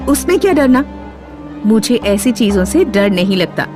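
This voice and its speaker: An actress playing a mysterious, fearless young woman passenger in a Hindi animated horror story, with a playful, teasing manner. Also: mid-range voice, normal speed, lightly acted. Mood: unruffled, probing. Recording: music under the dialogue. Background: music.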